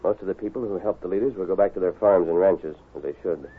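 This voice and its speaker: A man playing a stern, commanding masked lawman in an old-time radio western, speaking with firm, deliberate authority. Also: low-pitched, breathy edge. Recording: tinny, hissy old radio broadcast.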